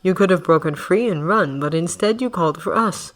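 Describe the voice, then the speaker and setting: Woman doing a soft-spoken, scheming male villain. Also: lowish voice, fairly quick, close to an everyday voice. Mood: coaxing. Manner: even, soft, coaxing.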